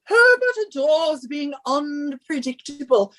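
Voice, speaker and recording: A woman's voice: sits high, sing-song, slow pace, a bit bright, heavily acted. A woman playing an imperious, henpecking wife in a radio suspense drama, nagging and scolding with theatrical emphasis. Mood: smugly insistent. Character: bossy henpecking British wife, shrill and imperious.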